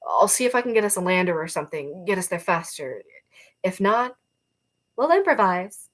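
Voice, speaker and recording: A woman voicing a cautious, worried young female squad leader in a novel reading, measured and hesitant. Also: fairly low pitch, very animated. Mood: reluctant, decisive.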